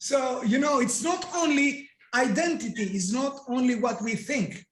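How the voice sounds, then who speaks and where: A man's voice: very high voice, measured pace. A man from Greece whose first language is Greek, speaking English with a Greek accent as an interview guest. He talks emphatically and poses rhetorical questions that he answers himself. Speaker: guest coach and NLP trainer. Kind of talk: explanatory interview talk. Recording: echoey room.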